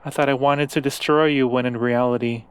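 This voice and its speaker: A man playing a seductive, obsessive demon prince in human form, speaking slowly and deliberately with menacing tenderness in an ASMR roleplay. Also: medium pitch, fairly even intonation, smooth, close to an everyday voice. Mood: brooding, obsessive.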